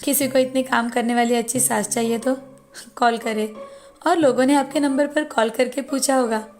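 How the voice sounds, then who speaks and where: A woman's voice: high-pitched, fairly even intonation, moderate pace. A woman voicing a spoiled, demanding young rich daughter-in-law in a moral story cartoon, speaking with entitlement. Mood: breezy, smug.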